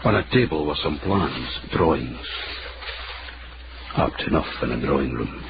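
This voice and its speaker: An actor playing a gruff, menacing Edinburgh investigator in a radio drama. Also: male voice, rumbling low, animated, measured pace. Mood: reflective. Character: gruff, menacing Edinburgh investigator narrating his own tale. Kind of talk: radio drama acting and first-person narration. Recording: muffled, hissy old-radio style recording.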